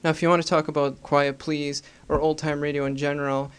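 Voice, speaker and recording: Clear-voiced casual man hosting a podcast. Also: fairly high pitch, little pitch movement, a little fast. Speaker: podcast host. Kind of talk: casual solo podcast commentary.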